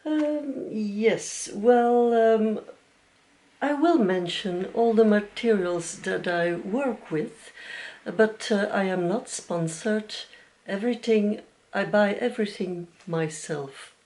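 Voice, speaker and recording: Soft-spoken woman, slow and hesitant. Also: mid-range voice. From Belgium. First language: Dutch. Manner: gentle, hesitant, many fillers and small restarts, a little nervous. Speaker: knitting podcast host. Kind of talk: casual video podcast chat. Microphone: camera mic.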